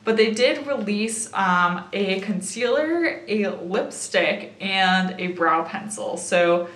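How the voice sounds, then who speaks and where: A young woman talks through her makeup haul in a relaxed, chatty way, thinking aloud as she tries things on. Her voice is a little raspy and tired from a late night, pitched in an ordinary middle range. The room around her adds a noticeable echo. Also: slow pace. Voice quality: slightly raspy. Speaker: beauty vlogger. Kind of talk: casual makeup haul and try-on.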